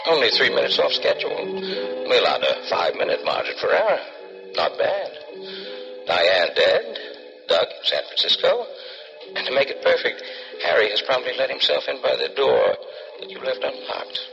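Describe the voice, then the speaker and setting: An actor playing a cunning, calculating killer in a dramatic old radio thriller. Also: male voice, mid-range voice, big pitch swings, moderate pace, creaky, music in the background. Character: cunning, cold-blooded murderer narrating his own scheme. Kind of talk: dramatic first-person radio thriller narration. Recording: dull old radio recording.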